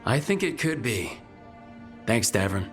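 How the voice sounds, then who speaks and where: An actor playing a steady, encouraging leader of a band of heroes in a video game. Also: male voice, medium pitch, a little slow, soft background music.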